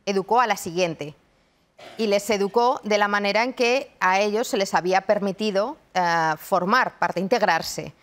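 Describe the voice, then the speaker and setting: A woman from Madrid, a university researcher and lecturer in her thirties, giving measured, reflective answers in a TV studio interview. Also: mid-range voice. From Madrid, Spain. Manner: thoughtful, measured, frequent restarts. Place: television studio.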